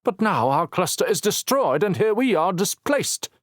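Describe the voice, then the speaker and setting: A man's voice: sits high, sing-song, moderate pace, no room sound, studio quality, voice pushed up. A man voicing a haughty, sneering councilwoman who speaks in a clipped, disdainful way.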